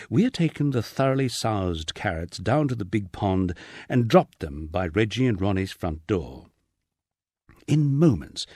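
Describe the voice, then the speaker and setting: A man's voice: mid-range voice, a little mellow, no echo, clean studio sound. A man reading a humorous memoir as an audiobook, with a wry storytelling delivery. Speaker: audiobook narrator. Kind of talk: scripted narration of a humorous memoir.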